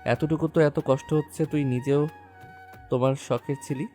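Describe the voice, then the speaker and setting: A man's voice: fairly high pitch, fairly even intonation, moderate pace, warm tone, lightly acted. A man playing a cruel, jealous husband in a romantic audio story, taunting, threatening and commanding. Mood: cold, taunting. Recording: music behind the voice.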